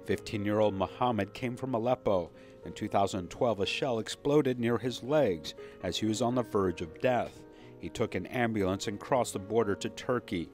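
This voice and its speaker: Male news voice-over, low and steady, measured scripted read. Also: soft background music. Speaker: news narrator.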